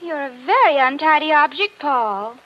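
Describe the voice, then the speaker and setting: A woman's voice: high voice, expressive, moderate pace. An actress playing a scatterbrained, flirtatious society woman who gushes and dithers in 1940s radio drama style. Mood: arch. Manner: gushing, dithering, coy. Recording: dull, noisy 1940s radio recording.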